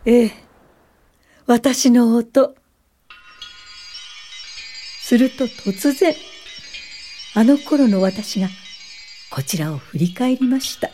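Elderly woman educator, unhurried, mellow voice, gentle, contemplative, radio drama. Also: on the high side, soft background music. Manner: measured, contemplative storytelling. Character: reflective, gentle eighty-year-old woman educator looking back. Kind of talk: radio drama narration and dialogue.

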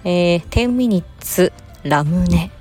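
A woman's voice: lowish voice, expressive, a little slow, a little mellow. A woman giving a promotional announcement in a polite, formal manner, like a presenter. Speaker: voice performer and channel host. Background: music.

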